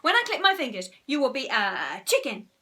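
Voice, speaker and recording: A woman's voice: high voice, big pitch swings, slow, bright, forceful tone, pitched above the performer's own voice, full character voice. A woman voicing a mischievous, boastful young boy who likes giving orders in a children's storybook. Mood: commanding. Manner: boastful, commanding. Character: mischievous, bossy young boy, gleeful schemer.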